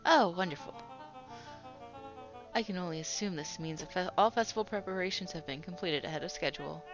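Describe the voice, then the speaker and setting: A woman's voice: lowish voice, brisk, lo-fi sound, over music, natural voice. A woman voicing a pompous man in charge, who lectures his workers condescendingly.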